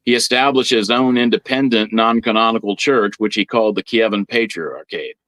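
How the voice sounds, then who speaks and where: A man with a slightly low voice, recorded close and dry. He talks like a podcast guest giving an informal history explanation, halting and doubling back on words as he lays things out. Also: a bit bright, no room sound, low-quality recording. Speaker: guest priest on a religious podcast.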